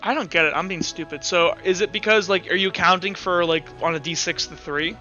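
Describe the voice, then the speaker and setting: A man running a tabletop roleplay session as game master over a voice call, halting and thinking aloud. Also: sits high, bright, forceful tone, lo-fi sound, soft background music. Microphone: online voice call.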